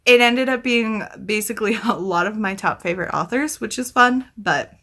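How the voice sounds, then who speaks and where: Bright-voiced enthusiastic woman with a scratchy throat. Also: mid-range voice, normal speed. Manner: enthusiastic, effusive. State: scratchy, sore throat from talking. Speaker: book reviewer, video host. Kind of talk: casual chatty book vlog. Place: small indoor room at home with bookshelf.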